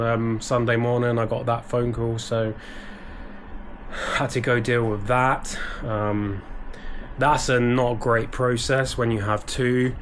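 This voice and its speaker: A man's voice: medium pitch, a bit bright, slight background noise. A British man talking casually to camera from his office, a little stuffy and bunged up, rambling with many ums and restarts. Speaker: eBay reseller vlogger. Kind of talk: casual vlog talk to camera. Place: small home office.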